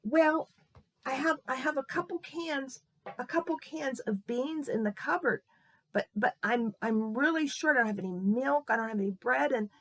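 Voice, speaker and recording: A woman voicing a cranky but soft-hearted old neighbor woman in a children's story, halting and repeating herself when she gets emotional. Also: high-pitched, pitched above the performer's own voice. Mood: tearful, grateful. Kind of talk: children's Bible-lesson storytelling.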